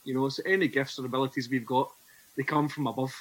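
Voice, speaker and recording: A Scottish man in his forties speaks as a podcast guest, earnest and reflective as he tells his own story. His pitch sits in an ordinary middle range for a man. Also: steady tone, fast talker, breathy edge, rough recording. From Scotland. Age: 40s. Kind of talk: personal testimony in conversation.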